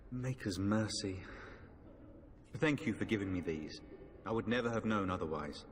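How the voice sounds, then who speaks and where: A man playing an earnest, dispirited knight in a fantasy game, speaking in a formal, measured, grave way. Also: fairly low pitch, lightly acted. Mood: solemn, grateful. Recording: long hall reverb, faint music behind.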